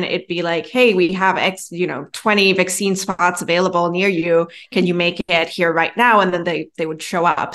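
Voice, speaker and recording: Lowish-voiced woman from Venezuela, interview guest. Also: a bit bright. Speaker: podcast guest, partnerships manager. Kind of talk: conversational interview answers.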